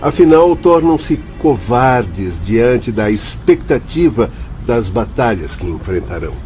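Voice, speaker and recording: Mellow, sober spirit counsellor. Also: male voice, medium pitch, sing-song. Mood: sober, admonishing. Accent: Brazilian. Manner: deliberate, formal, admonishing. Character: sober, kindly spirit counsellor addressing his pupils. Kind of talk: Brazilian radio drama acting. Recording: dull audio with faint music.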